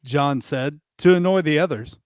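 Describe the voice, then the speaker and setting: A man with a somewhat high voice narrates a storybook in a group reading. The recording is dry, with little room sound. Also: moderate pace, no echo.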